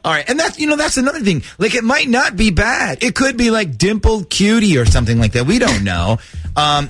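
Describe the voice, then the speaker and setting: Morning-show host, male, jokey and talkative, animated delivery on the high side. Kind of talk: radio talk banter and prank call.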